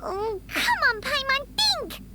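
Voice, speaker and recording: Squeaky, very high-pitched little fairy. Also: female voice, sing-song, slow pace, full character voice. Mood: worried. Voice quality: squeaky, childlike. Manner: exclamatory, pleading, dramatic. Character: tiny excitable floating fairy companion, loud and anxious. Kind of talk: anime video game voice acting. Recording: loud game music behind. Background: game music.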